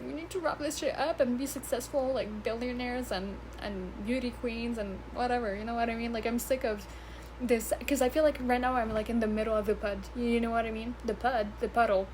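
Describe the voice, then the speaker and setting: A woman's voice: fairly high pitch, fairly quick, a little mellow, a little hiss or hum, poor audio. A woman in her early twenties, chatting casually and giggling on her vlog, swearing and stumbling over words in English, her third language. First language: not English; English is her third language. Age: 20s. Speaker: vlogger. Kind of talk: casual rambling vlog chat.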